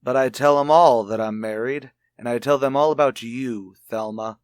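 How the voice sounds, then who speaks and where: An actor as a callous, taunting philanderer. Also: male voice, medium pitch, moderate pace, clean tone, no room sound, studio quality, close to an everyday voice. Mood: bitter. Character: callous philandering rich husband, taunting his wife. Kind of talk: old radio mystery drama acting.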